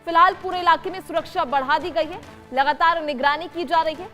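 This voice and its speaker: A woman's voice: very high-pitched, fairly quick, pushed and bright. A woman news anchor narrating a breaking report in an emphatic, formal broadcast delivery. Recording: music bed under the voice.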